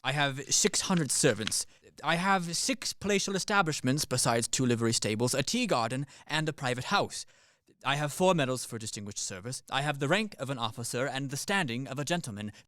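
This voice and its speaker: Man acting a practical Swiss captain, thirties, lively swings in pitch, emphatic, matter-of-fact, radio stage comedy, close dry sound. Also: fairly quick, no room sound, close to an everyday voice. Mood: matter-of-fact boasting. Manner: matter-of-fact, emphatic, reeling off lists and figures.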